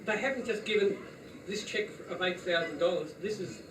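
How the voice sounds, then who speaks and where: High-voiced guy thanking a trust at a party, steady and informative, echoey and noisy. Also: brisk. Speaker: house board chairman. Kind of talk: thank-you speech. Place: function room at an evening party. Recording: echoey room, far-off mic, background noise.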